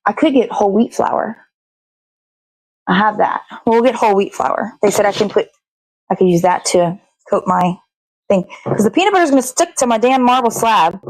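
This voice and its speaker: A woman's voice: on the high side, darkish tone. Woman chatting while she cooks, kinda talks to herself, swears a lot, no room echo. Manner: rambling, self-talking, frequent swearing. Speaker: host of a daily puppet show. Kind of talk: casual chat while cooking.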